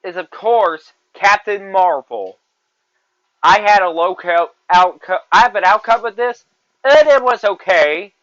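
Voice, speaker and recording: Young man in his twenties, podcast host; high, bright voice; rambles and repeats himself; dry, muffled lo-fi recording. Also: lively intonation, a little slow, dry recording. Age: 20s. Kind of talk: solo movie review podcast.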